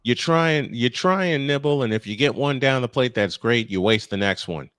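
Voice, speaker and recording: A man's voice: medium pitch, animated, normal speed, fairly bright tone, clear, resonant tone, no room sound. Older man calling baseball, dry close sound. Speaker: baseball play-by-play announcer. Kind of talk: live play-by-play commentary with casual banter.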